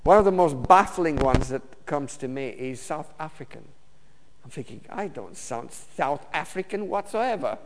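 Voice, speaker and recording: A man from Romania, preaching a personal testimony sermon in a halting way, with many fillers and restarts. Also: a little high, lively intonation. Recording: long reverb of a hall.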